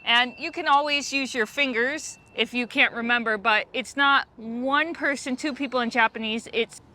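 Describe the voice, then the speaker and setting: A friendly American woman explains travel phrases in a patient, easygoing way. Her voice sits slightly high. Steady street noise runs behind her. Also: normal speed. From United States. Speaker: guest language guide. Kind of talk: casual explanatory chat.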